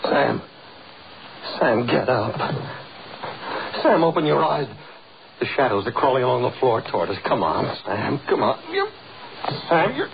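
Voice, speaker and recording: An actor with an American accent playing a determined, domineering doctor in a 1930s radio horror drama, urgent and melodramatic. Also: male voice, medium pitch, wildly swooping pitch, measured pace, vocal fry, long pauses, full character voice. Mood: panicked, desperate. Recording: noisy, muffled 1930s radio recording.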